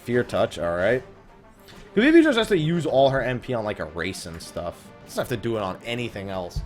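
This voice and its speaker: A man's voice: mid-range voice, very animated, normal speed, faint music behind. A man giving casual let's play commentary on a video game, swearing and griping with sarcasm. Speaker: host of a gaming let's play series.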